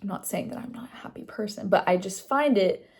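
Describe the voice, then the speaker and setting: A woman's voice: mid-range voice, a little fast, a little mellow, slightly rough. A young woman chatting casually in a vlog about her day and a book she is reading. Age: young adult. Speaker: vlogger.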